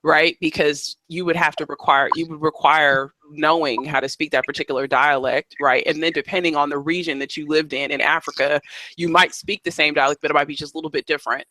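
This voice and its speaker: Man, host, bright voice, slightly high, dry sound, chatty and loose. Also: fairly even intonation, brisk, no echo, rough recording. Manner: conversational, many restarts and fillers. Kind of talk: casual panel discussion.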